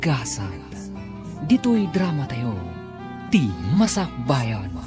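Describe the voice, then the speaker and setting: A man announcing and recapping a radio drama in a formal, measured, dramatic way. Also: medium pitch, very animated. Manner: measured, dramatic, drawn-out delivery. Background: guitar music bed.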